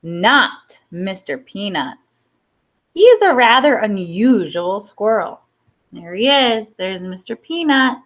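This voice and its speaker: A woman reading a children's picture book aloud expressively, with pauses for emphasis and small asides. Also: mid-range voice, big pitch swings. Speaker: storybook reader. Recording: dull, lo-fi sound.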